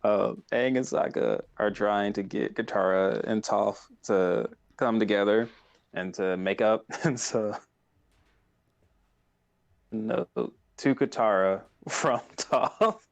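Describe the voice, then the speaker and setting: A man in his thirties with a low voice and a noticeable creak at the edges. He chats casually as a podcast co-host, rambling excitedly through retellings and slipping into quoted dialogue. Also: slow, muffled recording. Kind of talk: casual chat.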